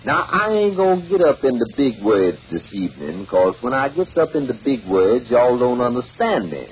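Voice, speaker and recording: A man's voice: mid-range voice, big pitch swings, normal speed, highs cut off, natural voice. A man performing a comic mock sermon as an exasperated, foul-mouthed, folksy preacher scolding his stingy flock. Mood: pompous. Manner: folksy, bombastic, cursing pulpit delivery. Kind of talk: mock sermon comedy monologue. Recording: noisy band-limited old 78rpm record.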